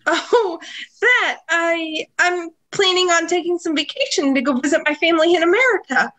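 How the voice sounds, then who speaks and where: A woman's voice: very high voice, expressive, moderate pace, a bit bright. A woman playing a flustered, defensive nightclub singer with secrets in a comic radio mystery. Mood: flustered, evasive.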